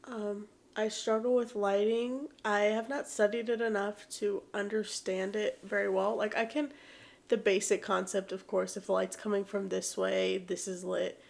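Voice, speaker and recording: A woman's voice: medium pitch, level tone, moderate pace, vocal fry. Young woman kinda stuffy-nosed, rambly easygoing art chat, pokes fun at herself. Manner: chatty, rambling, self-deprecating, with fillers. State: congested from allergies. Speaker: art channel host. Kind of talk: casual chat while painting.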